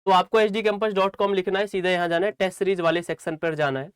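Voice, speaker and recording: High-pitched man teaching. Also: fairly even intonation, fast, clear, resonant tone, no room sound, clean studio sound. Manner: repeats phrases for emphasis, often checking with listeners. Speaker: exam coaching teacher. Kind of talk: informal instructional talk to students.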